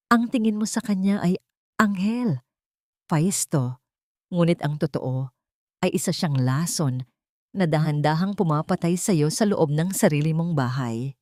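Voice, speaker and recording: A woman's voice: low voice, darkish tone, dry recording, clean studio sound. A woman voicing a cruel, scheming rich wife in an audiobook melodrama, with a haughty, taunting and menacing manner. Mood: cold, venomous.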